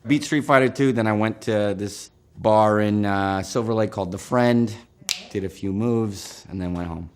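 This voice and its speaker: A man in his thirties recounts his stories in a loose, easygoing way, full of fillers. His voice sits a little on the low side. He comes across as an interviewee chatting casually. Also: normal speed. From Northern California. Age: 30s. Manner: relaxed storytelling with frequent fillers.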